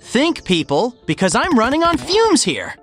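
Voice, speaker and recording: A woman's voice: fairly high pitch, huge pitch swings, moderate pace, soft background music. A woman voicing a brash, determined young male hedgehog hero with a casual, punchy cartoon delivery. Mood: weary, frank.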